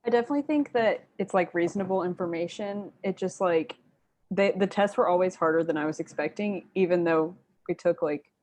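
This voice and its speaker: A woman's voice: fairly low pitch, a little fast, a little mellow. A young woman, a college student, chatting casually in a class discussion. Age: young adult. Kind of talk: casual chat.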